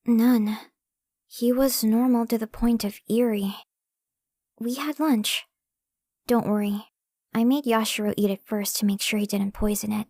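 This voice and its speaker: A woman's voice: a little high, fairly even intonation, unhurried, pauses often, darkish tone. A woman voicing a guarded, prickly young girl in an anime fan dub, stubborn, earnest and defensive, with long reverb on her voice.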